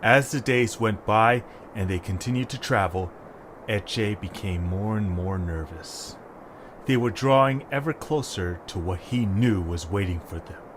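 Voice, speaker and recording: A man's voice: on the low side, a little slow. A man narrating a scripted audio story as its narrator. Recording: faint background noise.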